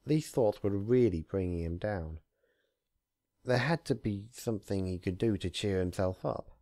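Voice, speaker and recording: Low-pitched man reading stories, dry close sound. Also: unhurried, warm tone, no echo. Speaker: fan fiction reader, channel host. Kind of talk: casual intro, then narrated story reading.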